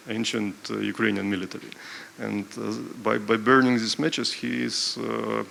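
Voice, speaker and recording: Male panelist, low gravelly voice, hesitant delivery with lots of ums and restarts. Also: pushed and bright, slight background noise. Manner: halting, full of fillers and restarts. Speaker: panel speaker presenting an art program. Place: panel discussion at an art event venue.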